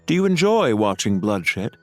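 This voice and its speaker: An actor playing a suave, scheming villain mastermind in a video game, polite, smug and theatrical. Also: male voice, lowish voice, very animated, moderate pace, darkish tone, faint music behind. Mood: menacing.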